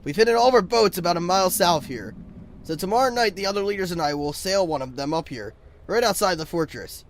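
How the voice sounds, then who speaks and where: An actor playing an earnest, reassuring creature leader with a formal, firm manner. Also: male voice, high-pitched, expressive, quick pace.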